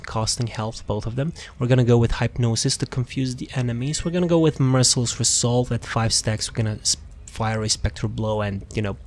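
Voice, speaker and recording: Rambling man over clear background noise. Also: mid-range voice. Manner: rambling, self-interrupting, often trailing off. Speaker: gaming video host. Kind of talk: casual game build walkthrough commentary.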